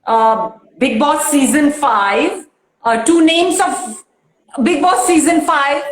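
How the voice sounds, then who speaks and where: High-voiced bubbly woman, echoey room. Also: slow pace. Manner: emotional and bubbly, laughs often, many fillers. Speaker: guest. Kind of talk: casual interview chat.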